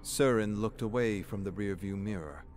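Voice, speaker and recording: Male narrator, low voice, scripted game lore reading, faint music underneath. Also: moderate pace. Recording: music playing behind the voice.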